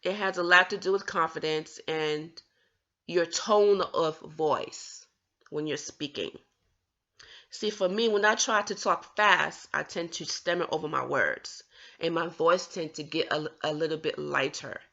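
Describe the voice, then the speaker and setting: A woman's voice: low-pitched, a little slow, creaky, bright, forceful tone, muffled recording. A woman vlogger talking earnestly about her own stutter, with occasional stammered restarts as she encourages others. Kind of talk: personal talk to camera.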